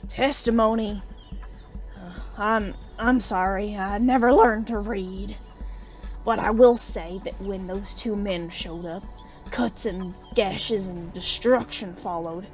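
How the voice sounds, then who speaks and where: A woman's voice: mid-range voice, steady tone, slow pace, soft background music, lightly acted. Woman doing a poor village widow for an audio drama, jaded, blunt, salty way of talking. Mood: shaken, earnest. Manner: plain-spoken, colloquial, bitter.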